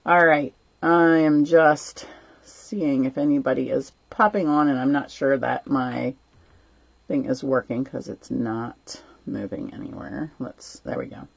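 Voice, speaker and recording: A chatty woman hosts a live craft class at home, greeting viewers by name between plenty of ums. Her voice is gravelly and somewhat worn, since she says she is losing it, and the stream audio sounds dull and lo-fi. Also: extremely low pitch, a little slow, dry recording. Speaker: host of a live stamping class. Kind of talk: casual live-stream chat and craft demo.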